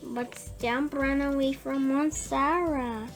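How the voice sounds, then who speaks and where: A woman reading a children's bedtime story aloud in short, halting phrases, word by word. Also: high voice, fairly even intonation. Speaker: story reader. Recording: music playing behind the voice. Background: music.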